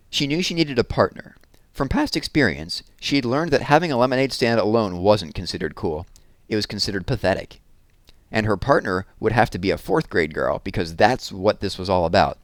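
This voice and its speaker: Low-voiced man reading a children's story. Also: dry recording. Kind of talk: expressive children's book read-aloud.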